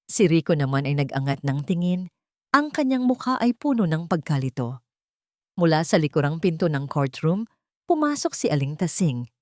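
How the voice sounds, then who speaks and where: Woman storyteller, kinda low voice, dramatic scripted narration, very dry sound. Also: sing-song, moderate pace, no echo.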